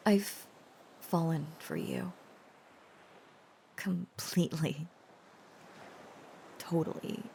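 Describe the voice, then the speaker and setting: Slow, hesitant, tender dragon girl voiced by a woman. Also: deep voice, huge pitch swings, warm tone, a little hiss or hum, exaggerated voice. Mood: nervous, confessing. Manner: hesitant, halting, earnest, often dropping to breathy whispers. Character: tender, shy, protective dragon-descended woman confessing her love. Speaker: voice actor. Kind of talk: intimate romantic audio roleplay.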